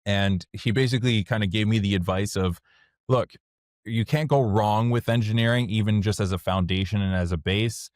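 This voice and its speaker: Man, French, low voice, candid interview guest, dry close sound. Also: moderate pace, no room sound. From Canada (Montreal). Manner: candid, plainspoken, thinks aloud as he talks. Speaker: guest, software founder. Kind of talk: casual interview storytelling.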